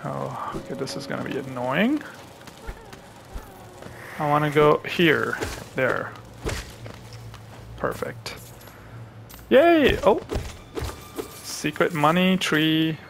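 Gravelly young man narrating gameplay. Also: a little high, slow pace, long pauses, a little hiss or hum. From Pakistan. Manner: chatty, thinks aloud to viewers; excitable reactions. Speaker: gaming channel host. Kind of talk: live gameplay commentary.

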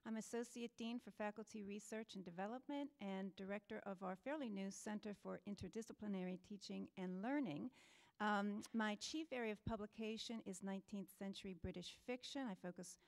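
A woman's voice: mid-range voice, brisk, gravelly. Brief: middle-aged woman from Pittsburgh, professor, friendly panel intro on a handheld mic. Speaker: college associate dean and humanities professor. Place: auditorium stage. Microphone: handheld microphone.

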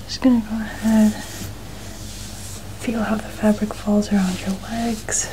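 A young woman whispering softly and breathily in an ASMR styling roleplay, gently narrating small actions as a stylist. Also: mid-range voice, flat delivery, unhurried, slight background noise, poor audio. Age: young adult. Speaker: ASMR host playing a dress stylist. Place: small indoor studio room. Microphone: small mic clipped at chest.

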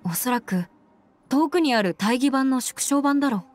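A woman's voice: high-pitched, lively intonation, moderate pace. A woman playing a composed, earnest young female adviser in an anime game, with matter-of-fact, mannish phrasing over background music. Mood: alert.

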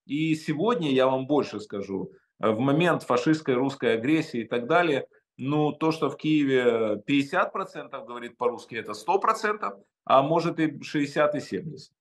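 A man in his fifties from Ukraine, answering interview questions over a video call from a home study, emphatic and halting with many hesitations. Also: medium pitch, lively intonation. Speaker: interview guest.

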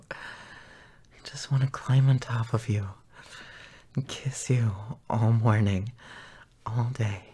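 A man playing a tender, possessive, gently dominant boyfriend in an intimate audio roleplay, often whispering in a soft, breathy murmur. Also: on the low side, full character voice. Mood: adoring, possessive. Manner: slow, soft, murmuring with pauses.

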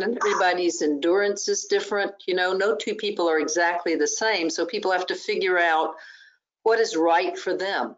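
A woman co-hosting from a dim living room over a webcam, explaining things in a measured way from a medical angle. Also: lowish voice. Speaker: co-host with medical background. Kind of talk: video-call interview. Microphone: video call webcam. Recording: dull lo-fi webcam audio.

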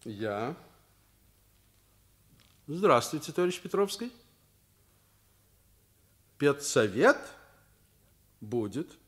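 An actor playing a stern, principled school principal in a Soviet radio play, with an emphatic, commanding delivery. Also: male voice, mid-range voice, husky, exaggerated voice. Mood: curt, official. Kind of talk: mid-century Soviet radio play acting.

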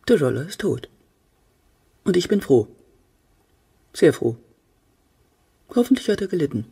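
Husky, bitter middle-aged mother. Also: sing-song, a little slow, mellow, heavily acted. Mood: grim satisfaction. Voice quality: husky, low-pitched. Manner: cutting, scornful, dramatic. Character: bitter, cold-hearted grieving mother, full of hatred. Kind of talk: radio play acting.

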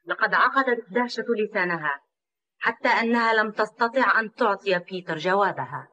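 A woman serves as narrator of a children's cartoon. Her voice sits at an average female pitch. She delivers scripted storytelling. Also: animated, fairly quick, sharp tone, dull audio.

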